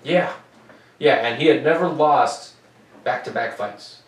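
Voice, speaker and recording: A man's voice: medium pitch, measured pace. Brief: young American man, casual podcast co-host, swears freely, distant mic in a roomy space. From United States. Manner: loose, profane, joking. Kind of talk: casual sports podcast chat.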